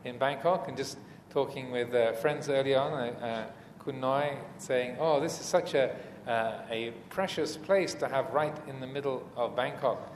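A man gives a reflective dharma talk in a big, reverberant room, with some noise behind him. He speaks in measured short phrases and pauses often as he teaches. The echo of the hall trails his words. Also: medium pitch. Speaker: Buddhist monk teacher giving a dharma talk.

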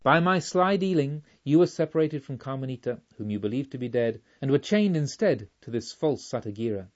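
A man voicing a dignified, menacing yet remorseful robber chief in an audiobook, speaking in a measured, formal way. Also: mid-range voice, lively intonation, darkish tone, muffled recording, close to an everyday voice. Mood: grave, earnest. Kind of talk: audiobook storytelling.

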